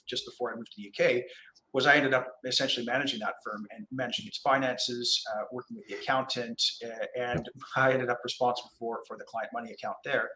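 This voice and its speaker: A middle-aged man with a Canadian accent, a commercial lawyer explaining his career in a relaxed interview, measured and hesitant with many restarts. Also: mid-range voice, poor audio.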